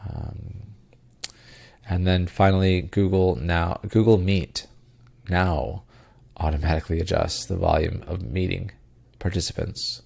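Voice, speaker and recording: A man's voice: deep voice, little pitch movement, unhurried, creaky, a little mellow, breathy edge. A man hosting a casual tech news podcast, congested and tired, with plenty of ums and uhs and loose rambling asides. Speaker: podcast co-host.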